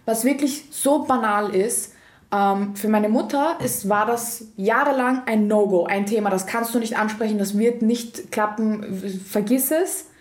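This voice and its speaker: A woman's voice: on the high side, echoey room. A woman in her twenties talking in an interview, thoughtful and searching for words, with restarts. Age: 20s. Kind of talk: casual interview talk.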